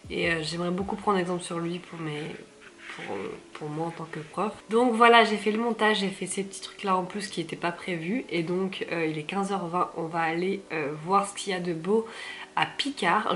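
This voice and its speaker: A young woman vlogging from her living room, hoarse from a cold, chatty and self-mocking with lots of fillers. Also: on the low side, fairly even intonation, normal speed, fairly bright tone, slight background noise. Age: young adult. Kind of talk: casual vlog chat to camera.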